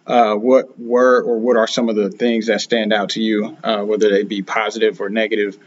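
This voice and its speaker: A man's voice: on the low side, little pitch movement, normal speed, highs cut off. A man hosting an interview asks long, hesitant questions, filling them with "uh" and "you know". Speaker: podcast host, interviewer.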